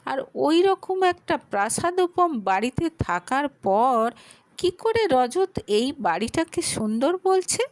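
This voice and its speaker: A woman with a Bengali accent reads a romantic story aloud, taking her time over every phrase. Her voice sits fairly high and swings widely in pitch. The recording is dry and close, with no room sound. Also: no room sound. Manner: slow, expressive storytelling with lively pitch. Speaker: narrator. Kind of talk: scripted audio story narration.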